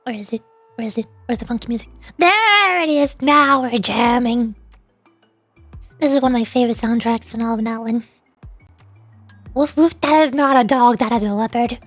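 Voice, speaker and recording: A woman's voice: fairly high pitch, normal speed, long pauses, no room sound, soft background music. A woman streamer giving casual, playful, rambling commentary while she plays a video game.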